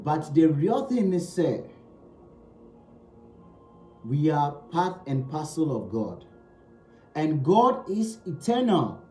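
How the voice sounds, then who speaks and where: Male spiritual teacher, animated pitch, emphatic and repetitive, roomy echo. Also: takes it slowly, long pauses, faint music behind. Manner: emphatic, repeats key phrases, asks rhetorical questions. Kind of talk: slow spiritual teaching talk.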